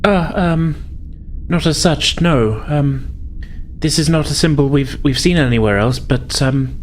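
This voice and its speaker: A man's voice: on the high side, measured pace. Hesitant, formal man playing a devout alien pilot. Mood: earnest, reverent. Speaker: tabletop role-playing player. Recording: faint background noise.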